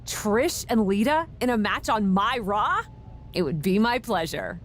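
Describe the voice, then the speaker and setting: A woman acts a wry wrestling boss in a video game. Her medium-pitched voice swings widely as she talks, skeptical and exasperated, over a rumbling noisy background. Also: animated, measured pace, natural voice. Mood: awkward, friendly. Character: wry, conflicted female wrestler turned general manager. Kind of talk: video game cutscene acting.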